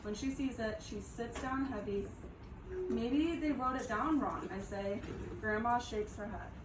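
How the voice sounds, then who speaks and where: Woman reading aloud, roomy and distant. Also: fairly high pitch. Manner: pauses to prompt and affirm listeners. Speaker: teacher reading aloud to children. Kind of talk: picture book read-aloud with questions to children. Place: echoey room with children. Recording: roomy, distant mic, background noise.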